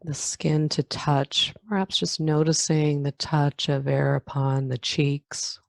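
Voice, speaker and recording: A woman leading a guided meditation in a calm, unhurried manner, leaving long pauses between phrases. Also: deep voice, gravelly, no room sound. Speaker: meditation guide, yoga nidra teacher. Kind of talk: guided meditation, body scan.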